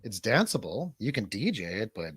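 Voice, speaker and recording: A man's voice: on the low side, sing-song, normal speed, fairly bright tone, breathy edge. A man co-hosting a livestream in casual banter, with a rambling, slangy, jokey way of talking. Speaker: livestream co-host.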